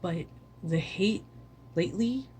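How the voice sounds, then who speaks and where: A woman's voice: on the low side, lively intonation, slow, darkish tone, slight background noise. A weary woman streamer giving an earnest, impassioned speech to camera, repeating words for stress. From United States.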